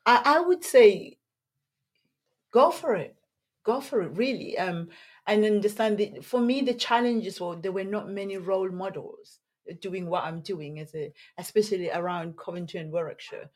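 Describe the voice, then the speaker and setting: A soft-voiced woman, a nutritionist, chatting as a podcast guest, animated and quick to laugh, often restarting her sentences. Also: mid-range voice. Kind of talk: casual interview chat.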